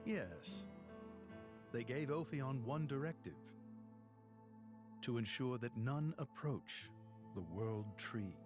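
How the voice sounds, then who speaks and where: An actor playing a solemn, courteous old ruler in a game cutscene, speaking formally and with measured dignity. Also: male voice, medium pitch, expressive. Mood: grave, explanatory. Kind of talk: dramatic game cutscene acting. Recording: orchestral music behind the voice.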